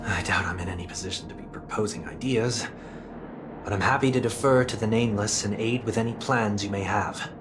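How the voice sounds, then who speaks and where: An actor playing a polite, curious wanderer who speaks formally. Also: male voice, on the low side, a little fast, lightly acted. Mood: courteous. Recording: game music behind.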